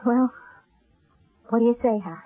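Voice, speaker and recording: A woman's voice: on the high side, normal speed, breathy edge. A woman playing a wry, theatrical stage psychic in an old-time radio mystery. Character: weary, wry female stage psychic, uneasy under threat. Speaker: actress. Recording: muffled, narrow-band old radio recording.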